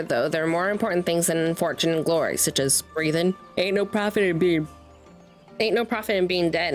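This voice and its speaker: A woman's voice: lowish voice, lightly acted. A woman voicing a chatty, folksy old male peddler from a fantasy game, reading the lines aloud with a rustic turn of phrase. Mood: folksy, frank. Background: game music.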